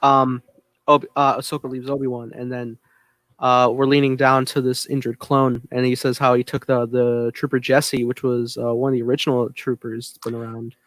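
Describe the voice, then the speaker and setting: A man's voice: medium pitch, little pitch movement, normal speed, fairly bright tone. Male podcast co-host, middle register, no room echo, informal recap style. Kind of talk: casual episode recap.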